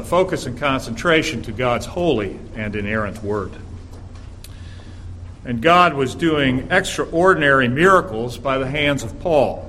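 A man with a raspy voice, a guest preacher delivering an earnest sermon in a church and reading scripture aloud. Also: medium pitch, fairly bright tone, a little hiss or hum. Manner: earnest, measured preaching with filler "uh"s.

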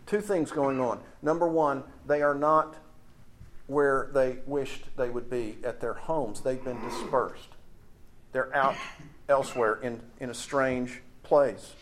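A middle-aged man with a Texas accent preaching in a conversational, emphatic way, pausing on key phrases. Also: mid-range voice, a little hiss or hum. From Texas, United States. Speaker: preacher and seminary teacher. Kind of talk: expository sermon with anecdotes.

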